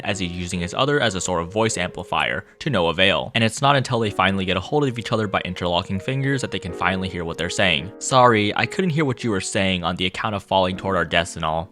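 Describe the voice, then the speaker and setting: A man's voice: low voice, fairly bright tone. A man delivering scripted critical commentary as a video essayist. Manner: exasperated, sweary, emphatic.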